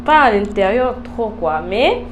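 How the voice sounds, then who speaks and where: Female TV interview host, Congolese French accent, average pitch. Informal, hesitant, code-switching questions, with clear noise behind the voice. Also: big pitch swings, measured pace. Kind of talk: casual TV interview, French mixed with English.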